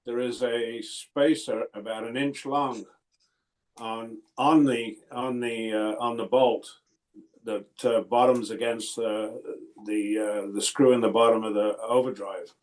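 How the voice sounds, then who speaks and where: A man's voice: mid-range voice, fairly even intonation, a little slow, a little mellow, low-quality recording. A man giving a casual technical explanation on a group call, stumbling and repeating words as he explains. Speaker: technical adviser.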